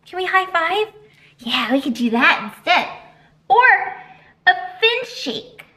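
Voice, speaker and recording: Woman in her 30s, storytime librarian; high and singsong, with a lively, swooping delivery. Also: slow pace. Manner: animated, sing-song teacher voice. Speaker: children's librarian. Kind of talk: storytime reading and announcements for kids. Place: library children's room, indoors.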